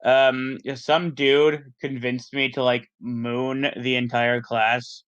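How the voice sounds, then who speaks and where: A man's voice: medium pitch, level tone, slow, fairly bright tone, clear, resonant tone. A man bantering casually in a blunt, self-mocking way, with swearing, over dull voice-chat audio.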